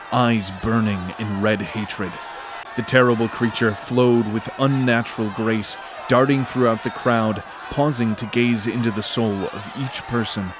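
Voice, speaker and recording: Male narrator, medium pitch, measured dramatic storytelling for a scripted fantasy audio drama. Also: background noise.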